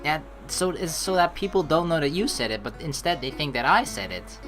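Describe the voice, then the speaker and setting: A woman narrating a game playthrough, with animated, jokey asides and some swearing. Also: low-pitched, fairly quick. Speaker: let's play streamer. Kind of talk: playthrough narration with casual reactions. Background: background game music.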